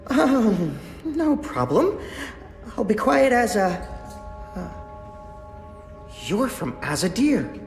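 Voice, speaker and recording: A jittery young engineer who stammers and rambles. His voice sits fairly high and leaps up and down as he talks. The game audio rings with long, roomy reverb. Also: heavily acted. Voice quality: stammering, flustered, talkative. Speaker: lead engineer character. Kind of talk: video game voice acting.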